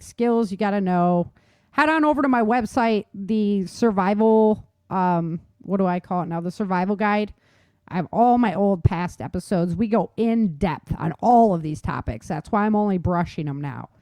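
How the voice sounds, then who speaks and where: A woman's voice: medium pitch, a little mellow, no room sound. Casual woman host, dry close sound. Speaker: talk show host, author. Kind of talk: casual chat on survival tips.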